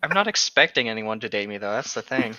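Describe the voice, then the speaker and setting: A man's voice: on the low side, lively intonation, fast talker, sharp tone. A young man in casual joking chat, blunt and given to exasperated banter, over a lo-fi voice-call connection. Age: young adult.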